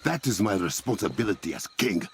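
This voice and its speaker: An actor playing a solemn, protective warrior king with an African accent, speaking in a formal, commanding, measured way for a video game. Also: on the low side, very animated, loud music behind the voice. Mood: stern, grave.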